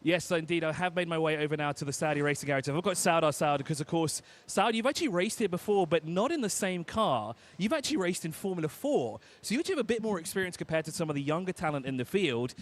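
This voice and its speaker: A man reporting live from an outdoor race pit lane, chatty and quick with joking asides. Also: fairly high pitch, animated. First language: English.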